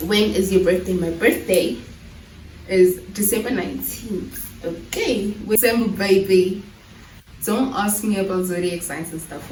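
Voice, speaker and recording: A woman's voice: mid-range voice, a little mellow, slight background noise. A nineteen-year-old woman chatting casually in a vlog, laughing easily and often restarting her sentences. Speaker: YouTuber. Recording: echoey room, mic far off.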